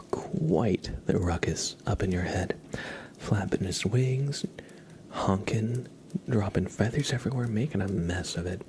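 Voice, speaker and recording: Slow, hushed man streaming ASMR. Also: sits low, background noise. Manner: soft-spoken, often dropping into whispers. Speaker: ASMR livestreamer, host. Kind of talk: live ASMR stream, casual chat with viewers.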